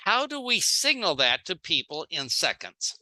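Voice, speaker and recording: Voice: mid-range voice, very animated, measured pace, pushed and bright. A speaker giving a sales training seminar in short plain phrases, acting out little example dialogues. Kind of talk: seminar talk in short phrases, paused for an interpreter.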